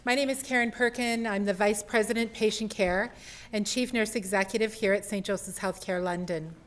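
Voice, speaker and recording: A woman of middling pitch welcomes an evening audience and reads out a speaker's introduction. Her delivery is polished and formal, the measured tone of a scripted host addressing a lecture hall. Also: fairly even intonation.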